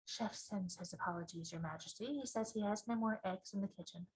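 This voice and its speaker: Woman acting storybook butler man, discreet, deferential, hushed, apologetic, low-key delivery. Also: brisk, husky, rough recording. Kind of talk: children's storybook character reading.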